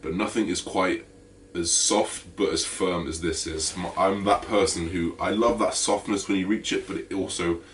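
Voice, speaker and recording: Deep-voiced raspy man. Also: moderate pace, recorded from a distance, a little hiss or hum. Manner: energetic, chatty, full of fillers. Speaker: fitness vlogger. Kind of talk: casual vlog talk to camera.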